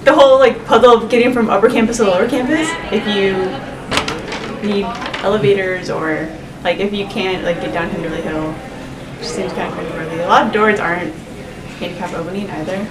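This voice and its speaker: A woman's voice: mid-range voice, a little fast. Casual young woman, distant and echoey. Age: young adult. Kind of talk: casual interview answer. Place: indoor lounge, standing before a camera. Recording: roomy, noisy, off mic.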